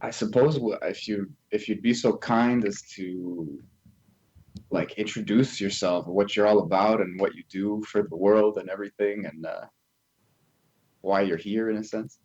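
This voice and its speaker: A man's voice: on the low side, steady tone, normal speed, a little mellow, breathy edge, lo-fi sound. A man co-hosting a podcast, asking a loose, meandering question without much preparation. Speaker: podcast co-host. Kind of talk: casual podcast chat.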